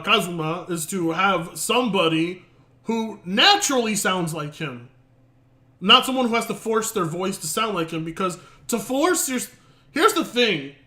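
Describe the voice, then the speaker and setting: A male streamer who talks casually in a rambling, hesitant way and swears and laughs a lot. His voice is high and bright, with lively swings in pitch. The room sounds echoey. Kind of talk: casual live-stream reaction.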